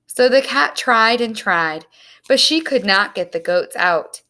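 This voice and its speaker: A woman reading a children's storybook aloud as narrator, with simple, deliberate phrasing. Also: a little high, animated, bright, forceful tone.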